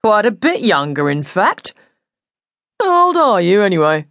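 A woman plays a stuck-up porter in his thirties, officious and self-important. He speaks slowly and pompously, straining to sound posher than he is, as a storybook character in a very dry, close recording. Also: medium pitch, very animated, fairly bright tone, no room sound. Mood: condescending. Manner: pompous, talking as if trying to sound posh. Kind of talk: audiobook character dialogue.

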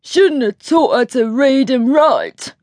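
A woman's voice: on the high side, wildly swooping pitch, slow pace, breathy edge, dry recording, clean studio sound, pitched above the performer's own voice, exaggerated voice. Woman putting on a mincing, drawling affected footman. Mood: sneering, affected.

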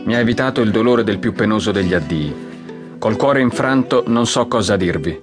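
An actor playing a devoted, respectful secretary to a general, measured and deferential, in an audiobook drama. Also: male voice, on the low side, lightly acted. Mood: solemn, reading. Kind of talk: audiobook drama, reading aloud formally. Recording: guitar music under the voice.

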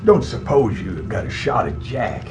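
An actor playing a cranky, folksy old hermit with a rural American accent in an audio drama, grumbling and cussing. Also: male voice, mid-range voice, very animated, unhurried, music in the background. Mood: grumbling, irritated. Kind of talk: full-cast audio drama acting.

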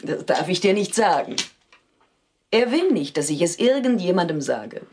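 Husky, secretive star singer. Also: female voice, on the low side, big pitch swings, normal speed, a little mellow. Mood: evasive. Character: secretive celebrated female singer, gently evasive. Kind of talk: German audio drama acting.

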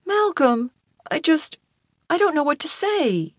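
A woman's voice: high voice, sing-song, measured pace, dry recording, voice pushed up. Female reader doing upset young guy, emotional, voice way up, standing up to parents, dry audiobook. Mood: tearful pleading. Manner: emotional, pleading. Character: anguished young man pleading with and defying his parents.

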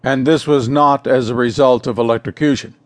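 An actor playing a polished, skeptical television interviewer in a radio drama, with a smooth, formal broadcast delivery. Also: male voice, medium pitch, fairly even intonation, fairly quick, no room sound, natural voice. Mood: polished, probing. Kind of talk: radio drama acting of a talk show.

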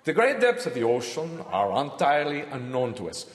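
A man's voice: medium pitch, wildly swooping pitch, moderate pace. A man playing a pompous, formal professor delivering a public lecture in an audio drama. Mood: assured. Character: male professor proclaiming his sea-monster theory to assembly. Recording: echoing large hall reverb.

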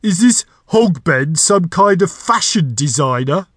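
A man voicing a talking magic door from a storybook, a gruff, self-important gatekeeper with a booming gravelly voice. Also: lively intonation, unhurried, a bit bright, no room sound, clean studio sound, heavily acted. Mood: impatient, roaring. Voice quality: deep, gravelly.

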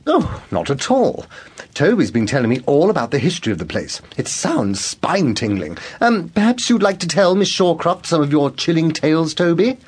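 Radio comedy: anxious-to-please producer, animated, husky, polite, hesitant enthusiasm. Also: male voice, on the high side, normal speed. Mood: enthusiastic. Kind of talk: radio comedy drama acting.

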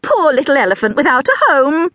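Sing-song scatty teacher lady, super high and lively, woman putting her on. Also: brisk, dry recording, clean studio sound, full character voice. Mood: bubbly.

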